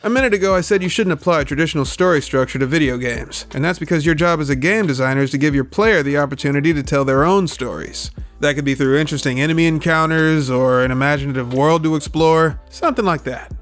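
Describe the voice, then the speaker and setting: A man hosts a scripted video essay in a somewhat high voice. He is opinionated and emphatic, with casual, sweary phrasing. Music plays faintly behind his narration. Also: quick pace, few pauses, fairly bright tone.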